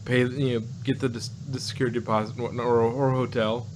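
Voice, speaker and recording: A man's voice: medium pitch, little pitch movement, fast talker, noisy background. A man co-hosting a casual, rambling review chat with a wry, sarcastic manner. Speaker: podcast co-host reviewing audio dramas.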